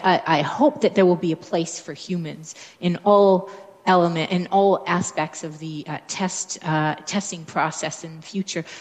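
A woman from Canada with a Canadian accent, speaking thoughtfully on an academic panel, with many fillers and restarts. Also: on the low side. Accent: Canadian English. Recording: echoey room with long reverb.

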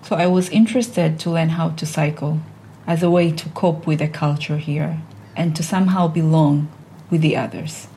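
A woman, telling a personal story in an earnest, measured way. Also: deep voice, steady tone, warm tone, slight background noise. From Tanzania.

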